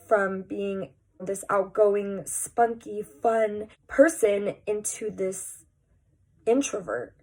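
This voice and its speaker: A woman's voice: mid-range voice, darkish tone. Congested young woman talking candidly. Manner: candid, earnest, conversational. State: congested, sounds nasal from a cold. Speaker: vlogger. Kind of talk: personal confessional vlog.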